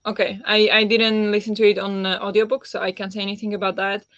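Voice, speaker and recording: A woman chats easily on a book live stream, answering viewers with plenty of fillers and little laughs. Her voice sits at a middling pitch. The sound is dry and a bit dull, like a plain home setup. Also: level tone, fast, dry recording. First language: Slovak. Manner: chatty, warm replies to viewers with frequent fillers and laughs. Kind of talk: live-stream chat reading out comments.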